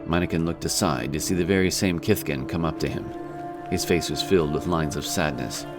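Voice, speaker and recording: A man giving scripted narration of a fantasy story in a measured, serious manner. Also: very deep voice, music in the background.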